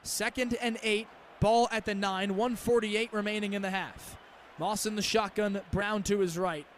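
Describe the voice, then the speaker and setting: A young man calling live football on the radio as a play-by-play announcer, in clipped phrases that build into drawn-out, excited calls. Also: high-pitched, slight background noise. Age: young adult. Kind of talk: live radio sports commentary.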